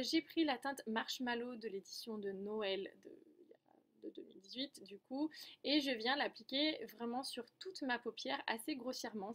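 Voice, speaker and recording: A woman's voice: a little high, fairly bright tone. A woman giving a casual makeup tutorial, chatty and explanatory, often correcting herself as she goes. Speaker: beauty vlogger.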